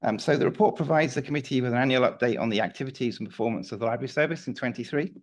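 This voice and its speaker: A man with a slightly croaky voice giving a formal report to a council committee, measured and a little hesitant. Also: mid-range voice. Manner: measured, with some fillers and restarts. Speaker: council officer presenting a report. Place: council meeting room.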